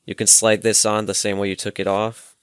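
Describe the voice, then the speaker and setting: A man's voice: lowish voice, completely flat delivery, fairly quick, fairly bright tone, clear, resonant tone, dry recording, studio quality. A young adult man, giving a casual hands-on demonstration and explaining informally, step by step. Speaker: presenter of a gadget tutorial. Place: small room at home, indoors. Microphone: headset microphone.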